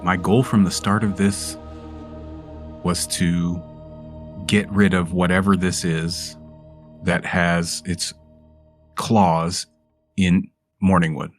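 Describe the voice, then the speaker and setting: A man's voice: sits low, flat delivery, slow pace, pauses often, faint music behind. A man playing a tabletop role-playing game, chatting casually in slangy, sweary language.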